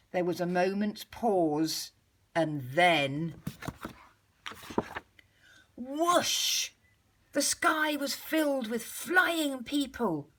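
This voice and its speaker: Lively, husky-edged woman reading a storybook. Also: medium pitch. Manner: measured, animated storytelling with deliberate pauses. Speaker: teacher reading a story aloud to pupils. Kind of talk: expressive storybook reading for young children.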